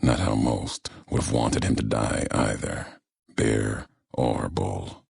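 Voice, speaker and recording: Brooding male game wanderer, grim and cryptic, very heavy vocal fry, unhurried clipped delivery. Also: very deep voice, steady tone, darkish tone, heavy reverb, full character voice. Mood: cold, judging. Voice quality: gravelly. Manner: cryptic, deliberate, clipped fragmentary phrases. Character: grim, brooding wasteland wanderer, solemn and judging. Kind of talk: video game character dialogue.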